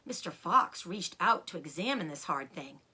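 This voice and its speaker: A woman storyteller reading a children's book aloud in an expressive narrating style with dramatic emphasis. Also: lowish voice, normal speed, slightly rough.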